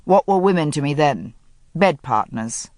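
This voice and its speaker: A woman's voice: low-pitched, animated, measured pace, darkish tone, no echo, studio quality. A woman doing a weary, guarded upper-class man. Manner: subdued, clipped and self-reproaching. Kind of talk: audiobook character reading.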